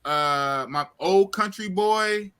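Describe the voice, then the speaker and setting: A man in his fifties with a bright, ringing voice and a slightly higher pitch than most men. He hosts a casual live stream, animated and repetitive, hyping up his viewers as he goes. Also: slow pace, clean tone. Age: 50s. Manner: animated, shouts out viewers, repeats himself. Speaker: host of a live talk stream. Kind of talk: casual livestream chat.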